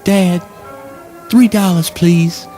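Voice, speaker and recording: Devoted dim-witted son, male actor: halting, pleading, mellow voice, faint music, spoken word drama. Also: sits high, steady tone. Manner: halting, plaintive, drawn-out phrases. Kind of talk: theatrical spoken word audio play.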